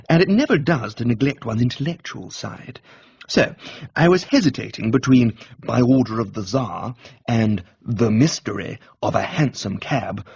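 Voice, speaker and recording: Husky upper-class English male narrator, lively. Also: mid-range voice, dry recording, dull audio. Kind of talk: scripted narration, comic audiobook in character as a genial gentleman.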